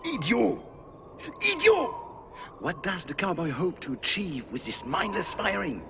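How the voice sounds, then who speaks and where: An actor playing a suave, vain, short-tempered French villain boss with a French accent in a video game, speaking with mock courtesy and theatrical condescension. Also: male voice, high-pitched, big pitch swings, a little slow, gravelly, noisy background, heavily acted. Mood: exasperated. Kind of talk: video game cutscene acting.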